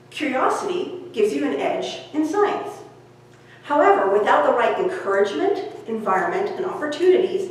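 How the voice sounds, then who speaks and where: In a very reverberant room, a woman reads nonfiction from a little way off the mic. Her voice is fairly high and she phrases each point deliberately, like an author explaining her own book. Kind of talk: scripted audiobook-style reading of nonfiction. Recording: very echoey room, distant mic, background noise.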